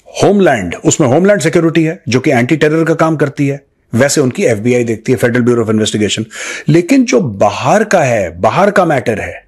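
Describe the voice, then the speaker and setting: A man's voice: medium pitch, husky. A man with an Indian accent giving unscripted political commentary to viewers, emphatic, repeating phrases, asking rhetorical questions and switching into English phrases. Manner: mixes English phrases into talk.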